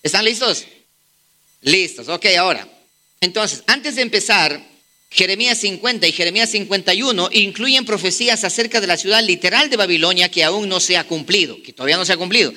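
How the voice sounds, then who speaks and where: A man's voice: high-pitched, animated, brisk, pushed and bright. A man preaching a sermon, firing rhetorical questions at his listeners and urging them to look things up. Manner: emphatic, rhetorical questions to the congregation.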